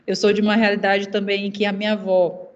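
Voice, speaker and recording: An earnest young woman from Maranhão in Brazil, whose first language is Zé'eng eté, speaks on a panel at a steady middle pitch with very little melodic movement. She talks haltingly and often repeats fillers while she searches for words. The sound is dull and lo-fi, like a video call. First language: Zé'eng eté (Guajajara language). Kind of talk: personal testimony in a panel talk.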